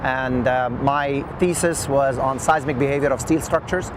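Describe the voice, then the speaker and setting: A man's voice: mid-range voice, fairly even intonation. A middle-aged man recounts his work in an unscripted interview, hesitating often as he goes. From Lebanon. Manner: halting, with frequent long hesitations. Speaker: engineer interviewee. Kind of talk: oral history interview, unscripted recollection. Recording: loud outdoor traffic noise.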